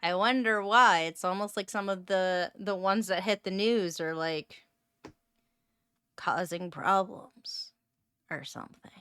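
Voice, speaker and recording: A woman's voice: medium pitch, slow, a bit bright, clear, resonant tone, no echo. A woman streamer casually reacting to a video, rambling and wry with lots of fillers, on a close mic.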